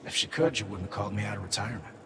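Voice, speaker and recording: A man's voice: lowish voice, rapid-fire, background noise, close to an everyday voice. An actor voicing a brash male AI weapons maker in a video game, casual and cocky, explaining features and calling his user boss. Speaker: onboard AI guide.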